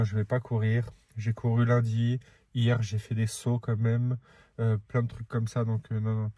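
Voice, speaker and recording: A man's voice: medium pitch, mellow, no echo. A man recording a casual training diary vlog, chatting and thinking aloud in short bursts. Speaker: amateur triathlete vlogger.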